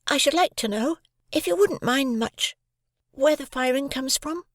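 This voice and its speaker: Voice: high voice, expressive, a little slow, a bit bright, no echo, clean studio sound, voice pushed up. A reader putting on the voice of a timid, curious small boy who asks anxious, pleading questions in an audiobook. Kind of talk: audiobook character voice.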